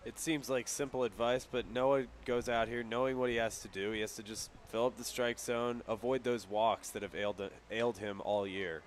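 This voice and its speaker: A man's voice: mid-range voice, fairly even intonation, normal speed, hardly any pauses, slight background noise. An American man giving color commentary and analysis on a live baseball broadcast.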